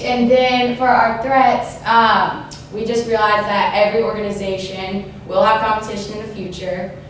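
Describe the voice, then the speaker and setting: A woman's voice: on the high side, a little hiss or hum. Young woman presenting in an echoey hall. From Georgia, US. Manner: plain, steady listing of points. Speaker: student presenter. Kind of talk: class presentation. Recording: echoey room from far off.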